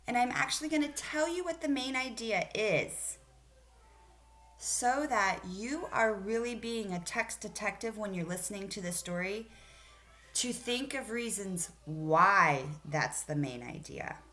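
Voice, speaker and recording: A woman elementary teacher speaks at an average pitch. She addresses children directly in an encouraging, instructive manner, as someone running a read-aloud lesson would. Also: lively intonation, a little slow, fairly bright tone.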